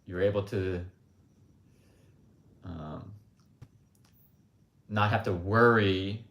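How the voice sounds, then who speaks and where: Low-voiced blunt man rambling. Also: steady tone, extremely slow, creaky, a bit bright. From United States. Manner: rambling, blunt, frequent asides with "like" and "um". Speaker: livestream host. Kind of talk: casual opinionated monologue. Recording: roomy echo.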